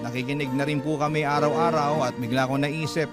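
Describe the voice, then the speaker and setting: A narrator reading a personal letter in a confiding storytelling manner for a radio drama. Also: female voice, low voice, fairly even intonation, fast talker. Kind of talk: scripted narration. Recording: loud guitar music under voice.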